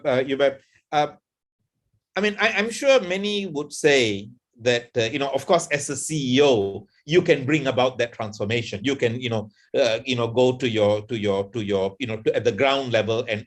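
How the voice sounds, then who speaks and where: A man, a professor moderating a fireside chat, asking questions in a halting way with many fillers and repeated words. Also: medium pitch.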